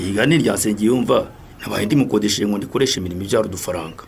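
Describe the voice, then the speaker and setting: Fast-talking, jovial Rwandan flatterer. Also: male voice, on the low side, gravelly, slight background noise. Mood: jovial, eager. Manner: chatty, chuckling, ingratiating. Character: jovial, flattering debtor eager for work. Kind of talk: radio drama acting.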